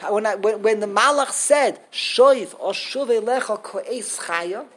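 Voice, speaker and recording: A woman teaching a Torah class to a small group, lively and animated, switching often into Hebrew terms. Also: medium pitch, unhurried. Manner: animated, acts out quotes, mixes in Hebrew terms.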